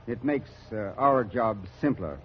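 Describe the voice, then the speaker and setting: An actor playing a cold, matter-of-fact army captain in 1940s radio drama, explaining with detachment. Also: male voice, mid-range voice, expressive, a little slow, lightly acted. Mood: cold, detached. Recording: muffled vintage radio recording.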